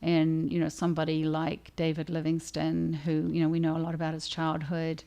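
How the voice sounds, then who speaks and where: A woman's voice: low voice, monotone, moderate pace, gravelly, warm tone. A woman author hosting a casual discussion with readers, conversational and thinking aloud as she goes. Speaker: author and livestream host.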